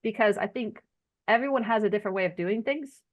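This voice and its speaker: A woman hosts a casual group video chat. Her accent mixes Arkansas Southern with New Jersey, and she tells stories in a folksy, conversational way at a middle pitch. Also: brisk, dull audio. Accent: blend of Arkansas Southern and New Jersey. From Arkansas, United States. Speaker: host of an online group chat. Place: video call.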